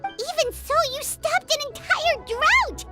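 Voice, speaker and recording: A woman voicing a tiny, excitable, blunt fairy companion in a video game, with a squeaky childlike voice. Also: takes it slowly, heavily acted. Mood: amazed. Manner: refers to self in third person, exclaiming. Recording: music behind the voice.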